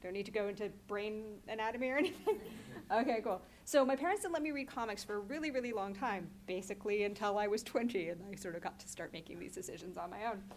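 A young woman, a comics creator, giving a lively, chatty talk and speaking directly to the audience. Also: medium pitch, fast, slight background noise.

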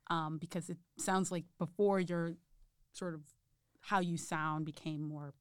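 A woman's voice: low-pitched, steady tone, unhurried, smooth, dry recording, studio quality. A woman interviewing in a casual conversation, thinking aloud and searching for words.

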